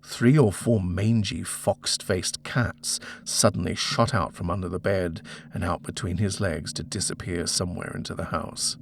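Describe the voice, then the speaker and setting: A man's voice: deep voice, fairly even intonation, normal speed, creaky, few pauses, slight background noise. A man narrating a scripted horror story as an audiobook reader.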